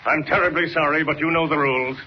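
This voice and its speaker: An actor playing a formal, evasive official secretary, aloof and coolly polite, in 1940s radio style. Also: male voice, a little high, steady tone, fast talker, lightly acted. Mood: coolly dismissive. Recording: muffled, faintly noisy old radio recording.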